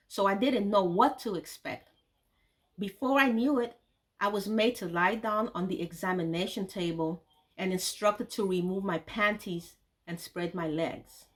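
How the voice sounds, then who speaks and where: A woman reading aloud from her own memoir, steady and mid-pitched. She tells the story in an earnest, grave way, letting heavy moments sink low and quiet.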